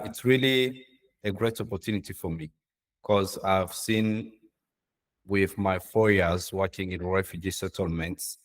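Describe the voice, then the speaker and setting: Deep-voiced man presenting, halting English. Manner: halting, earnest, non-native English phrasing. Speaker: guest speaker, social entrepreneur. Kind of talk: informal presentation of own work. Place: online video call.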